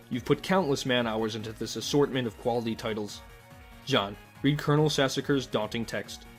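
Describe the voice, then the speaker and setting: A man reading scripted comic narration in the second person, with a wry, mock-formal manner. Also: medium pitch.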